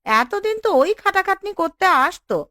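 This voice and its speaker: Woman doing high-pitched taunting village auntie. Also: sing-song, moderate pace, pushed and bright, no echo, clean studio sound, exaggerated voice. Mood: mocking. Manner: taunting, skeptical. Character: mocking, nosy village auntie. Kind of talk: cartoon dialogue.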